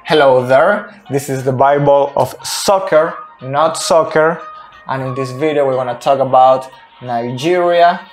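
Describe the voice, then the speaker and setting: A man in his thirties who is not a native English speaker, explaining football tactics unscripted at a whiteboard, slow and deliberate with many pauses. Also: mid-range voice, soft background music. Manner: slow and deliberate, pausing often. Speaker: football analyst. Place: small room by a whiteboard.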